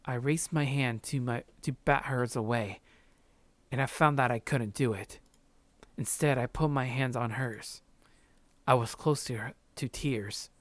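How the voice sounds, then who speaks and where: A man's voice: mid-range voice, a little slow, no echo, natural voice. Man reading as a subdued, heartbroken young narrator. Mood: overwhelmed. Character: Jack, numb, heartbroken man narrating his despair. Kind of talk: first-person visual novel narration read aloud.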